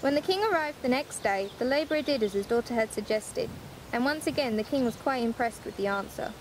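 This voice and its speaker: A friendly bedtime storyteller, played by a woman, with a fairly high voice in an echoey room. She is the teasing elder relative, reading fairy tales over faint noise. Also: animated, a little fast, natural voice. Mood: storytelling. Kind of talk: bedtime storytelling in amateur drama. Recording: roomy echo, faint background noise.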